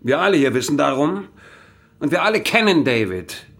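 An actor playing a well-meaning employer in a German radio drama, speaking in a plain, reassuring way. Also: male voice, a little high, sing-song, moderate pace, vocal fry, a bit bright, full character voice. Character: frank, well-meaning male employer offering a job.

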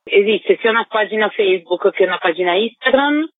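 A woman's voice: mid-range voice, expressive, brisk, no room sound. A woman giving a radio interview over the phone as a festival organiser. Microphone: telephone. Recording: narrow, tinny phone line.

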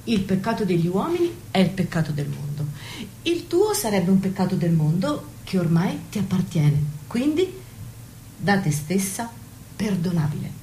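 An actress playing a worldly, wry maid and confidante in a radio play, knowing, deliberate and defiant. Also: female voice, lowish voice, big pitch swings, mellow, slight background noise. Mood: wry, teasing. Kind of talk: stage-play dialogue and monologue.

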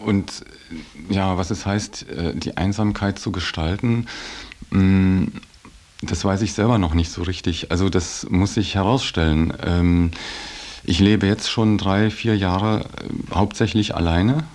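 A man's voice: sits low, measured pace, a little mellow. A middle-aged man giving a reflective personal account in a hesitant way, searching for his words. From Belgian-German background. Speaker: interviewee.

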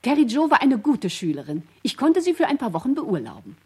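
An actress playing an emphatic female witness with a husky voice, testifying in a radio play. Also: high-pitched, big pitch swings, fairly quick, full character voice. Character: female witness, emphatic teacher-like acquaintance of the girl.

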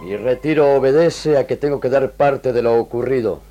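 An actor playing an honorable, humane army lieutenant with earnest, measured, formal phrasing in a radio drama. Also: male voice, mid-range voice, dark tone, dull audio, soft background music, lightly acted. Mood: reassuring.

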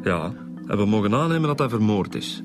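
A Flemish actor playing a polite, methodical police inspector in a radio crime drama. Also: male voice, on the low side, sing-song, a little fast, over music. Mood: businesslike.

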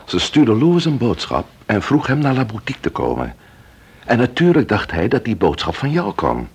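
An actor playing a composed male investigator in a radio drama, authoritative yet tender, with a husky voice. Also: lowish voice, wildly swooping pitch, moderate pace, darkish tone, heavily acted. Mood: gentle, grave.

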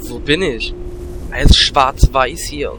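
A man's voice: medium pitch, takes it slowly, noisy background, close to an everyday voice. A man playing a cheeky, fearless little gnome warlock in a fantasy parody audio drama, brash and teasing. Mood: baffled.

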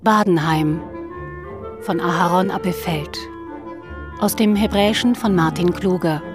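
A woman's voice: on the low side, unhurried. A woman reading title and credits in a scripted radio announcement. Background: music.

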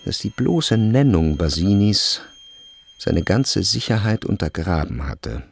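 A man narrating a literary radio drama in scripted, measured and expressive storytelling. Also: low-pitched, creaky, slightly rough.

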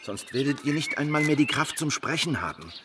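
Male actor as devoted young follower, earnest and zealous, historical radio drama, off-mic, clear music underneath. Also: on the high side, lively intonation, a little fast, lightly acted. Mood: concerned. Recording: distant microphone, music underneath.

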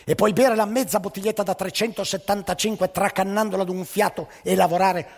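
A man's voice: sits high, fairly even intonation, a little fast, in a large hall. A man doing an officious Soviet party interviewer.

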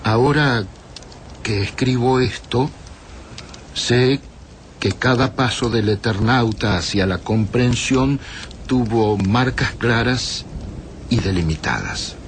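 An actor playing a solemn writer who narrates in the first person, measured and pausing, in a radio drama. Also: male voice, medium pitch, natural voice. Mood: solemn, pensive. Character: solemn male writer recording a time traveler's account. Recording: faint background noise.

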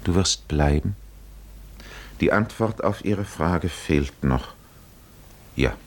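An actor playing a brooding male storyteller in a 1950s radio play, speaking in a solemn, deliberate, literary way. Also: sits low, animated, exaggerated voice. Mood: solemn, resigned. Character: grave male storyteller addressing Odysseus, brooding. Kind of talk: 1950s radio drama narration.

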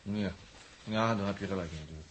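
Low-voiced actor as hesitant spaceman, noisy recording. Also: lightly acted. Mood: uneasy. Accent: Netherlands Dutch. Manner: colloquial, halting. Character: plain-spoken, hesitant male spaceship crewman. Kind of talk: 1960s Dutch radio drama acting. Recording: noisy old radio recording.

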